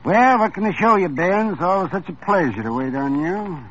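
A man's voice: sits high, expressive, a little fast, a bit bright. An actor playing a fussy, theatrical male cologne seller in a 1950s radio drama, with a gushing, showy manner. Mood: effusive. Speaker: shop owner. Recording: muffled old radio recording with faint hiss.

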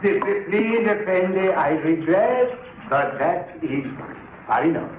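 Courtly foreign crook, fussily regretful, halting and flowery, on a roomy, narrow-band fifties radio recording. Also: male voice, high voice, expressive. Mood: regretful, fussy. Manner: ornately polite, hesitant, repeating himself. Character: courtly, regretful foreign smuggler and gambler doting on his birds. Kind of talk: 1950s radio drama acting. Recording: roomy, phone-like, faintly noisy 1950s radio recording.

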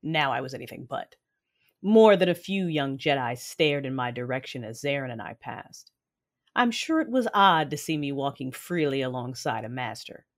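A woman playing a proud, defiant Sith lord who narrates her own story in a science fiction audio drama, intense and deliberate. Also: rumbling low, lively intonation. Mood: cool, wry narration.

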